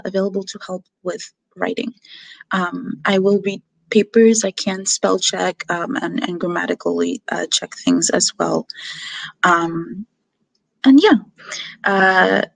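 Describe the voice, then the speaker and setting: A woman in her twenties from the United States introducing herself as a language tutor, speaking in a halting, measured way with many pauses. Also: medium pitch, creaky, dry recording, rough recording. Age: 20s. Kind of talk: self-introduction video.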